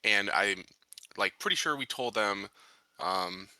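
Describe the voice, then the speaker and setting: A man with a low voice and a very bright, forceful edge chats casually through a tabletop roleplaying game. The recording is dry and close. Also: lively intonation, slow, no echo, rough recording.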